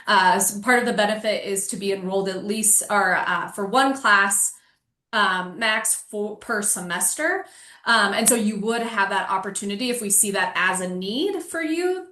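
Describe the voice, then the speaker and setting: A woman's voice: mid-range voice, sharp tone. Encouraging American woman in an echoey room. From Aurora, Colorado, United States. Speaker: program coordinator hosting an information session. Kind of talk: informal question-and-answer presentation. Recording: roomy, echoing sound.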